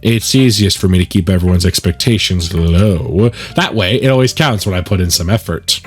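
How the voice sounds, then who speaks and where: A man's voice: sits low, normal speed, husky, soft background music, pitched below the performer's own voice. A man voicing an awkward, well-meaning teenage schoolboy, the casual, self-deprecating lead of a visual novel. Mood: sheepish.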